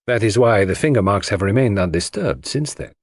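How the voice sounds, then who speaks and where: A man voicing an elderly, enigmatic Belgian gentleman detective for an audiobook, with precise, courteous and self-assured phrasing. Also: fairly low pitch, expressive, a little fast, no echo. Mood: explanatory. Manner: precise, courtly phrasing sprinkled with French address. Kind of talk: audiobook character voice.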